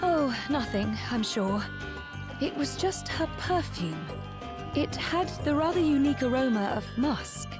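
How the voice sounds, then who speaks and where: A poised young game noblewoman, highish voice, formal n precise, music clearly behind. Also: expressive, unhurried. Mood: reflective. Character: poised, refined young noblewoman, observant and polite. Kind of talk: video game cutscene acting. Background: background music.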